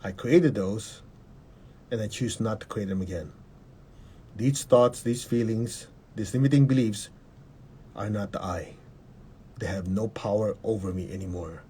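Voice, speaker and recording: A man leading a guided meditation in a calm, measured way, repeating short instructions and having the listener repeat affirmations. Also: fairly low pitch, a little hiss or hum.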